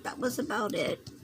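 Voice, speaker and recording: A hoarse woman with a Southern US accent, rambling through a craft tutorial and often clearing her throat as she fights a cold. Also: fairly high pitch, slight background noise. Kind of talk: chatty loom tutorial.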